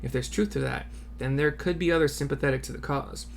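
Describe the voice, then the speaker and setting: A man voicing a sober, cautious resistance soldier in a fantasy game, in a measured, grave manner. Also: medium pitch, creaky, slight background noise, natural voice.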